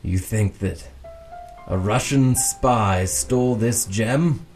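A man playing a melodramatic private detective in an improvised noir radio drama, with a hammy, halting delivery. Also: on the low side, darkish tone, soft background music. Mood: pondering.

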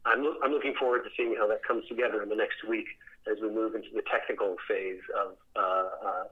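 A man speaking as a theatre director in a conversational interview, reflective and halting, often repeating words as he thinks. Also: on the low side, fairly even intonation, breathy edge, narrow, tinny audio.

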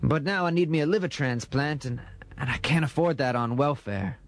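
A man's voice: a little high, normal speed, close to an everyday voice. A man playing a meek old retired coal miner who stammers humbly through his folksy comic testimony. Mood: sorrowful, regretful. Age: older.

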